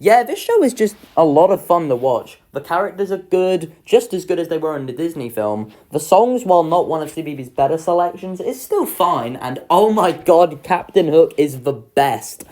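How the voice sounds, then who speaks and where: Young adult reviewer, casual, sweary, enthusiastic, very animated intonation. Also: mid-range voice, normal speed, few pauses. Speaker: video creator ranking children's pantomimes. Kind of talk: casual review ranking commentary.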